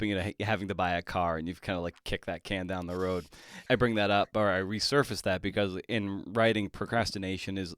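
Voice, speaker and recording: A man hosting a casual interview, circling into his questions with halting restarts. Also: sits low, clear, resonant tone, no room sound, studio quality. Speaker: podcast host, interviewer.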